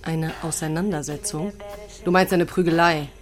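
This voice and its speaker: A woman's voice: low voice, fairly even intonation, normal speed, close to an everyday voice. Actress as hesitant woman, music behind. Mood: concerned. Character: hesitant, easily flustered woman, the sheriff's partner at home. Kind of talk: naturalistic radio drama dialogue.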